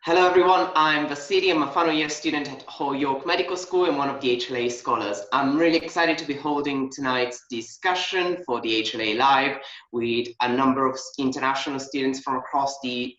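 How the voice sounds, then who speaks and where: A man's voice: mid-range voice, pushed and bright. A man in his twenties with an Italian accent, hosting an online student panel discussion. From Italy. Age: 20s. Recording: echoey room, muffled online call audio.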